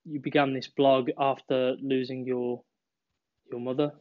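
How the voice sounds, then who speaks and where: A male podcast host puts his questions in a measured way, with plenty of "uh" and "um" as he leads into each one. His voice sits at a middle pitch. The recording is dull and lo-fi. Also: little pitch movement. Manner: measured questions with frequent "uh" and "um" fillers. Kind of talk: interview questions.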